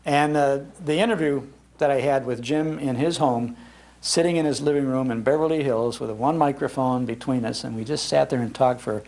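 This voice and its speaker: An older American man giving an informal, anecdotal public talk in an animated, conversational manner. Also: medium pitch, moderate pace. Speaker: speaker on old-time radio history, broadcaster. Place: public library talk before an audience.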